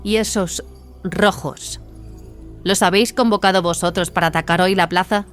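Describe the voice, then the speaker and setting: A woman's voice: on the low side, fairly even intonation, moderate pace, faint music behind, natural voice. A woman playing a stern, righteous warrior nun in an audio drama, speaking in a firm, questioning way. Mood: indignant. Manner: firm, interrogating. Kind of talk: dramatised audio fiction dialogue in Spanish.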